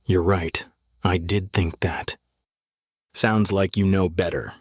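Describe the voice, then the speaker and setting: An actor playing a middle-aged sheriff in a noir audio drama, a patient interrogator who is measured, terse and probing. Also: male voice, low-pitched, steady tone, slightly rough, no room sound, clean studio sound, exaggerated voice. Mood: quietly menacing. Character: male small-town sheriff, calm, patient, steely interrogator.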